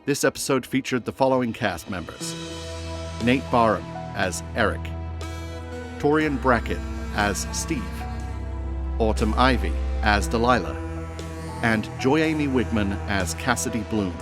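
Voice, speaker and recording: A man's voice: medium pitch, lively intonation, measured pace. A man reading scripted closing credits for an audio drama podcast as its announcer. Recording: clear guitar music behind voice. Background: guitar music.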